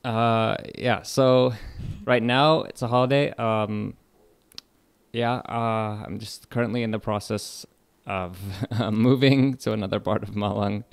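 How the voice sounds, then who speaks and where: Young Californian lecturer, low gravelly voice, relaxed and halting, dry close sound. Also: male voice, no room sound. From California, United States. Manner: relaxed, hesitant, many fillers and restarts. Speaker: English literature lecturer. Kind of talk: casual radio interview.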